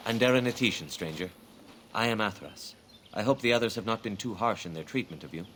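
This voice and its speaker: An actor playing a grieving elven hunter in a fantasy game, speaking in a formal, measured, earnest way. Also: male voice, fairly low pitch, animated, a bit bright, a little hiss or hum. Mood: guarded.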